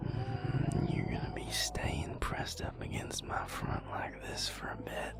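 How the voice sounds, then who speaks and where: A man playing an amorous, teasing vampire lover in an intimate romantic audio roleplay, murmuring slowly in a breathy, gravelly whisper with soft hums. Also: natural voice. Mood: smitten, teasing. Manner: slow, murmuring, lingering over words with soft hums.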